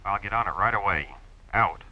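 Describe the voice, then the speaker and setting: An actor playing a brisk, obliging male sheriff's dispatcher over a police radio in an old-time radio drama, with a muffled sound. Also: on the low side. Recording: muffled, faintly noisy vintage radio recording.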